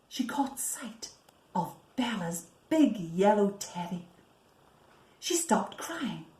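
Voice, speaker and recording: Slow-paced woman storyteller in a roomy space. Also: fairly high pitch. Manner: slow, deliberate, often hushed for effect. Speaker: library storyteller. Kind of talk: expressive picture-book reading for young children. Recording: roomy, echoing sound.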